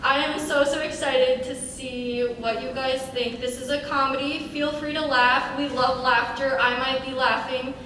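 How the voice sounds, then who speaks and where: High-voiced young woman in an echoey hall. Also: steady tone, unhurried, few pauses. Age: young adult. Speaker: showrunner introducing a cast at a table read. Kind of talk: live event introduction to an audience. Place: large echoey room, live event. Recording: noisy, very echoey hall, distant mic.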